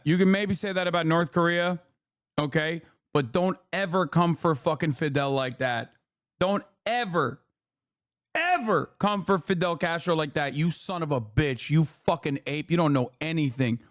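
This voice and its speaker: Guy streamer ranting and cursing at a clip, higher voice, dead dry mic. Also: normal speed, no echo. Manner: profane, mocking, shouting in outrage. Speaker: streamer reacting to a podcast clip. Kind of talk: heated live-stream commentary.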